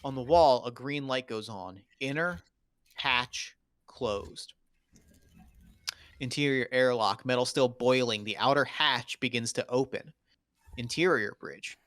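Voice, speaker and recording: A man's voice: medium pitch, a little slow, fairly bright tone, dry recording. A man reading screenplay stage directions in a dramatic table read.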